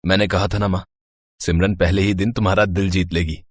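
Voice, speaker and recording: A man's voice: deep voice, level tone, brisk, breathy edge, no room sound, voice pushed down. A man voicing a devoted, tender young husband in a melodramatic cartoon moral story, with an earnest manner. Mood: fond, proud.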